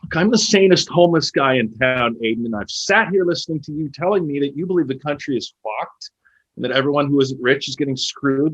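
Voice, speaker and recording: A man's voice: on the high side, a bit bright, slightly rough, lo-fi sound, exaggerated voice. An actor playing a homeless man in a stage play, bitter, wry and pointed as he presses his case. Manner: pointed, needling, pleading. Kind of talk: naturalistic stage play acting.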